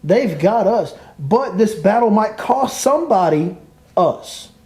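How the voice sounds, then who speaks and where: Man, podcast host, mellow voice, fairly high pitch, emphatic, repetitive. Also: animated, takes it slowly. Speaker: podcast host and fishing guide. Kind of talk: opinionated podcast chat.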